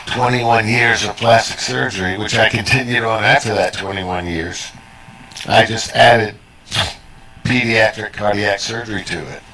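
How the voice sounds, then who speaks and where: An older man gives conversational answers as an interview guest, in a rough, gruff voice. It sits fairly low and has a lot of gravelly creak. His delivery is halting and modest. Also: sharp tone, poor audio. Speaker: interview guest, retired surgeon.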